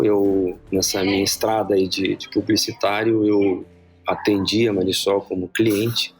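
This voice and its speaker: A man in his fifties with a Gaúcho Brazilian accent, a guest telling his career story in a relaxed, self-deprecating way. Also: low-pitched, husky. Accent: Gaúcho Brazilian Portuguese. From Rio Grande do Sul, Brazil. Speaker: guest, brand director. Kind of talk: casual interview chat.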